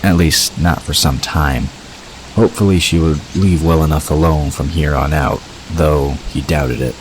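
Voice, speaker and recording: Very deep-voiced male story narrator. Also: fairly even intonation, measured pace, slight background noise, low-quality recording. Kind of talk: scripted story narration for audio drama.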